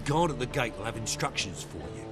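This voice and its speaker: A man's voice: medium pitch, expressive, brisk, music in the background, lightly acted. A man voicing a plain-spoken servant with rustic turns of phrase in a video game. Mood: businesslike. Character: plain-spoken male servant passing on instructions.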